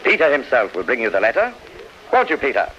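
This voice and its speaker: Swooping, florid old gallant. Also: male voice, mid-range voice, wildly swooping pitch, quick pace, slight background noise. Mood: flustered gallantry. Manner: theatrical, grandiloquent, hesitating for effect. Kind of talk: 1930s radio drama acting. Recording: dull 1930s radio recording, faint music.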